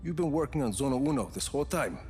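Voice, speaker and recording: An actor playing a confident, rugged video game action hero, speaking in a dry, laconic way. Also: male voice, mid-range voice, animated, fast talker, darkish tone, natural voice. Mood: probing. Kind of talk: cinematic video game acting. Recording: music behind.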